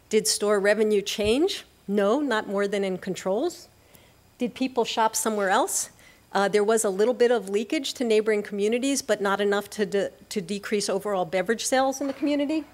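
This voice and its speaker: A middle-aged woman giving a conference presentation at a lectern microphone, summarizing findings in a measured question-and-answer way with frequent ums. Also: mid-range voice, a little mellow. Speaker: research presenter.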